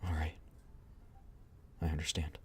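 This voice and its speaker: Man voicing a soft-spoken worried big sister. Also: extremely low pitch, monotone, unhurried, husky, exaggerated voice.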